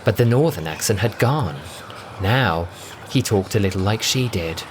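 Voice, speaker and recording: A man narrating a scripted science-fiction story with a theatrical, expressive delivery. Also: lowish voice, moderate pace, noisy background. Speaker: audiobook narrator and voice performer. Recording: loud music bed under the voice. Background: music.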